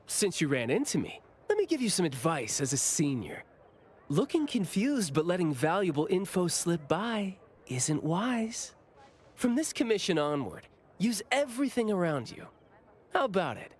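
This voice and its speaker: A playful, theatrical officer, swooping pitch. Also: big pitch swings. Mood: playful, breezy. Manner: teasing, drawn-out, theatrical. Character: playful, teasing senior bureau officer, breezy and theatrical. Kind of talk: anime game voice acting.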